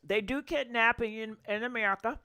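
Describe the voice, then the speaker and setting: A woman's voice: a little high, a little fast, sharp tone, clear, resonant tone. A woman hosting a casual call-in show, chatty with many fillers as she prompts her callers. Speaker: host.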